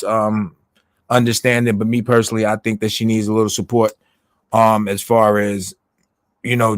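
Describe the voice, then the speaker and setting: Man, low voice, dry close sound, rambling livestream recap, lots of fillers and swearing. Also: dry recording. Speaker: livestream commentator. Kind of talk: casual recap commentary.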